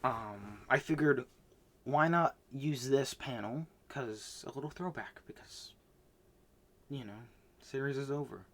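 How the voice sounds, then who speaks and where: A man casually recapping the manga he read this month, rambling with many fillers and restarts, swinging between loud bursts and soft mumbles. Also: medium pitch, a little slow. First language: not Japanese. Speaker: manga reviewer.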